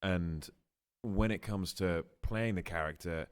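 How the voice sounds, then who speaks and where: A man answers a press question as an actor, speaking in a composed, articulate way. His voice is very deep. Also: slow, clear, resonant tone.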